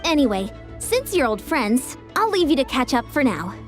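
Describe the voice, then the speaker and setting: An actress playing an earnest young female warrior officer in a video game, speaking in a formal, measured, courteous way. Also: sits high, very animated, over music. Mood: gracious.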